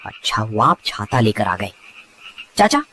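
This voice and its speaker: A man's voice: on the low side, very animated, unhurried, sharp tone, dry recording, full character voice. A man playing an easygoing, friendly village man in a cartoon story. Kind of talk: dubbed cartoon story dialogue.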